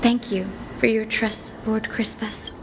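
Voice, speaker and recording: A woman playing a gentle, resolute young healer heroine in a fantasy video game, speaking politely and earnestly. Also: fairly high pitch, fairly even intonation, a little slow, background noise. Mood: earnest determination. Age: young adult.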